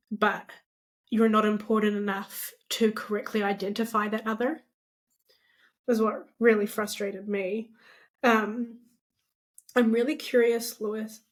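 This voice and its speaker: Even-toned young New Zealand woman. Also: mid-range voice. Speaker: podcast co-host. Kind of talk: casual conversational podcast talk.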